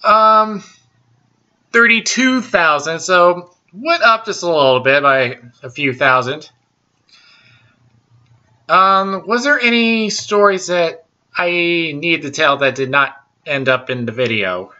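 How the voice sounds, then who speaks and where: Slow, halting man with a bright baritone. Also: lively intonation, lo-fi sound. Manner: slow and halting, many ums and restarts. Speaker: podcast host. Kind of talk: solo casual talk show.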